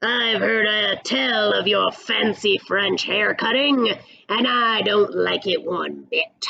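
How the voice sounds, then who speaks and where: Woman acting storybook cowboy villain, male, sneering, boastful, slow drawl, menacing. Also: medium pitch, vocal fry, pushed and bright, muffled recording, exaggerated voice. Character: menacing gravel-voiced male Wild West barber villain.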